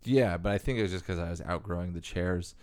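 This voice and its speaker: A man's voice: low voice, fast talker, a little mellow, no echo, clean studio sound. A young man co-hosting a podcast, easygoing and laid-back in casual chat. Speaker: podcast co-host.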